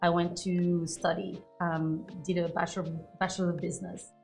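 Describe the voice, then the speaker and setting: A woman's voice: fairly low pitch, flat delivery, normal speed, creaky, darkish tone, faint music behind. A woman in her thirties from Mauritius with a Mauritian accent, a native French and Creole speaker, telling her story as a guest in a casual interview. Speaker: wine professional guest.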